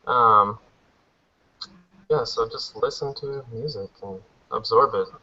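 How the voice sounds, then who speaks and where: A man, a singer who plays guitar and piano, talks casually with a relaxed manner and many fillers. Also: medium pitch, takes it slowly, slightly rough. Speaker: singer and musician. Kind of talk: casual discussion. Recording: dull lo-fi audio.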